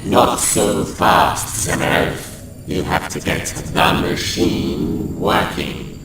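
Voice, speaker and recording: A man's voice: very deep voice, expressive, extremely slow, exaggerated voice. A man playing a scornful council chairman. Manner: officious and dismissive. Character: pompous male council leader, scornful. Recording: echoey, distant, noisy room.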